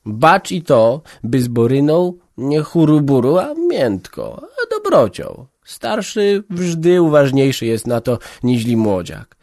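A man's voice: medium pitch, very animated, slow pace, hardly any pauses, darkish tone, no echo, studio quality, voice pushed up. A man playing a stern old peasant mother. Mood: scolding. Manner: scolding, insistent, folksy speech.

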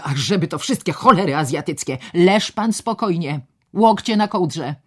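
A woman voicing a blustering, pompous male doctor who talks loudly and with exasperation. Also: medium pitch, animated, slow, pitched above the performer's own voice. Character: blustering loud middle-aged male doctor.

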